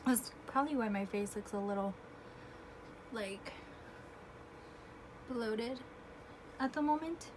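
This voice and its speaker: A woman in her twenties talks through a makeup routine in an easy, chatty, self-deprecating way and often clears her throat. She speaks slowly in a slightly high voice. Her phone picks her up at home against a steady hiss of background noise. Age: 20s. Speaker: beauty vlogger. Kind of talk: casual makeup tutorial chat. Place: home room with air conditioner. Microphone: phone camera. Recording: noisy home phone recording.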